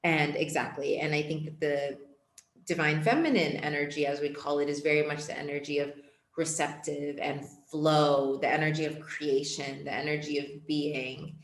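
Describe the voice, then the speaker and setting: Low-voiced young woman in an echoey room. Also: steady tone, normal speed. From born in Portugal, raised in Ethiopia. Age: young adult. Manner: reflective, earnest, many filler "like"s. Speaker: guest, empowerment coach. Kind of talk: casual podcast interview.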